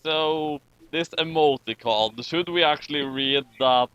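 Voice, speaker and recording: A man's voice: a little high, measured pace, fairly bright tone, smooth, no room sound, highs cut off. A man reading a story aloud for comedy, with casual commentary in between. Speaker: reader and commentator. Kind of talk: comic dramatic reading with casual chat.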